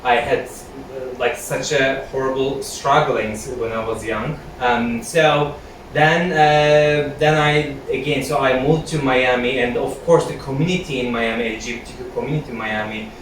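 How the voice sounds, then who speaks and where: A man from Turkey, a guest in a casual interview chat, talking in a relaxed, thoughtful way with pauses. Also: mid-range voice, slight background noise. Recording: echoey room, voice from far off.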